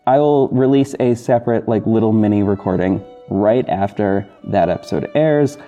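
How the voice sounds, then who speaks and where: A man hosting a podcast, talking casually and apologetically to listeners in a halting, self-correcting, rambling way. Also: on the low side. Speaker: podcast host and audio drama creator. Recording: dull lo-fi recording with music bed. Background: soft guitar backing music.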